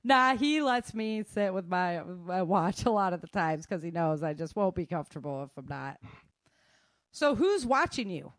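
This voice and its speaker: A woman's voice: on the low side, lively intonation, normal speed, no room sound, clean studio sound. A middle-aged woman talking casually and frankly, giving emphatic, informal advice as an instructor. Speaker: self-defense instructor and guest.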